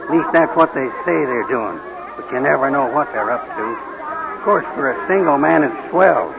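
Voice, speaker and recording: A man's voice: mid-range voice, animated, moderate pace, vocal fry. An actor playing a blunt, folksy, protective American man, a disapproving pal in a 1940s radio drama. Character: plain-spoken, protective male pal, gruffly disapproving. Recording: dull old radio recording with music behind.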